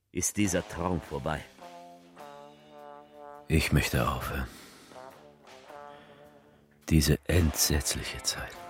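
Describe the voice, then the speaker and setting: An actor playing a political orator who delivers a bleak, defeatist speech in a theatrical radio play, declamatory and repetitive, with a husky voice. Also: male voice, extremely low pitch, exaggerated voice. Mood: weary, hushed. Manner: declamatory, repeating phrases with rhetorical pauses.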